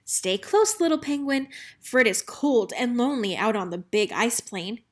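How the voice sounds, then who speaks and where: Storybook father penguin, voiced by a woman: proud, protective, gently instructive, pitched high. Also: animated, normal speed. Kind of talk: children's storybook read-aloud.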